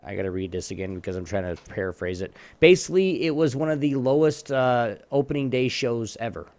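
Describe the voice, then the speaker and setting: A man's voice: medium pitch, expressive, brisk, darkish tone, no room sound. A man casually hosting a trivia round on a live show, rambling and often rephrasing himself.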